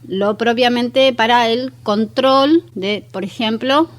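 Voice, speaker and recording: A woman with an Argentine accent and a fairly high voice talks as a guest on a radio show. She explains home gardening conversationally. Her manner is practical and instructive, often seeking agreement from the listener. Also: takes it slowly. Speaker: guest expert on aromatic plants and home gardening.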